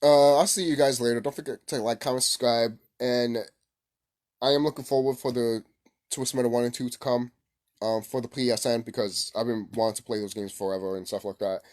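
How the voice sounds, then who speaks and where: A man hosting a casual, unscripted gaming vlog, rambling excitably, repeating himself and swearing casually. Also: medium pitch, fairly quick. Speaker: gaming video host.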